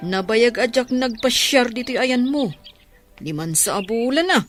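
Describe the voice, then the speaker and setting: A woman playing a frank, caring young village friend in a radio drama, chatty and animated, with an Ilocano accent. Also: on the high side, unhurried, music in the background.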